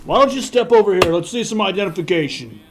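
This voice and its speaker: A man performs a gruff park ranger in an audio drama. He sounds authoritative, with a high-pitched voice. Noise is clearly present behind him. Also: expressive, quick pace. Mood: stern.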